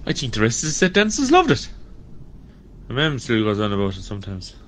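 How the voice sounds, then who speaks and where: A man's voice: mid-range voice, huge pitch swings, fairly quick, fairly bright tone. A man playing a defensive, cheeky Irish parish committee member in a radio drama. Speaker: actor in an amateur radio drama.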